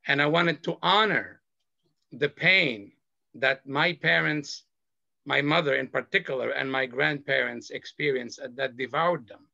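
Earnest man on a gravelly video call. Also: mid-range voice, pushed and bright, dry recording. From Lebanon. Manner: slow, earnest, measured delivery. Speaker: panelist. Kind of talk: personal testimony on a panel. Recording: dull video call audio.

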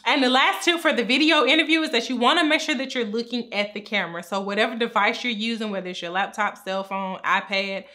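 A woman in her thirties with a bright, crisp voice talks straight to the camera from a small room at home. She sounds upbeat and instructive, explaining tips clearly and gesturing as she goes. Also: a little high, fast, hardly any pauses. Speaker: pharmacist giving career advice. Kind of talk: direct-to-camera advice talk. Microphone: camera framed at chest height.

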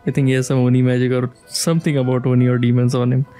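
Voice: mid-range voice, level tone, rapid-fire, warm tone. A game streamer giving casual, informal commentary with frequent giggly reactions. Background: game music.

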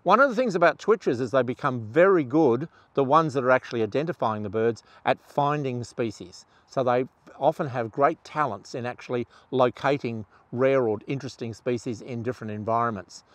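An older man, an ornithologist talking to camera outdoors on a lapel mic in a relaxed, conversational way with fillers. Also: mid-range voice, brisk, no echo. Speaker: ornithologist and presenter. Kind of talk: explainer talk to camera. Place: outdoors among trees.

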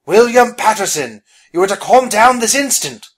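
A narrator voicing a bossy, easily flustered gentleman adventurer in a storybook, pompous and blustering. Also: medium pitch, very animated, measured pace, husky, voice pushed up, full character voice. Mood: commanding, angry.

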